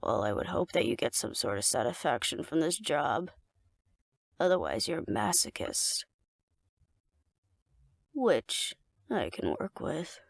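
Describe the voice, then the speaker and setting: Woman playing a flirty, gravelly alpha werewolf woman. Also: a bass voice, very animated, unhurried, pauses often, no room sound, full character voice. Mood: flirty, teasing. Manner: teasing, coaxing, playfully domineering. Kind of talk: intimate scripted audio roleplay addressed to the listener.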